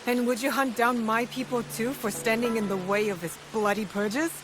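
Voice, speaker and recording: An actress playing a guarded but caring female fighter in a video game, frank and gently firm. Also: a little high, steady tone, moderate pace, background noise, lightly acted. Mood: probing, wry.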